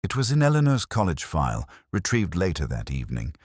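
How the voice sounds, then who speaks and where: A man playing a brooding, melancholy detective, narrating his case in a measured, literary, reflective way. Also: low-pitched, wildly swooping pitch, no room sound, clean studio sound, full character voice. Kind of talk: scripted first-person detective narration.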